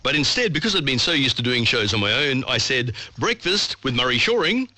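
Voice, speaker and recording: A man's voice: mid-range voice, very animated, brisk, pushed and bright, dry recording. An Australian man presenting live on radio from a studio. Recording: AM radio broadcast picked up online.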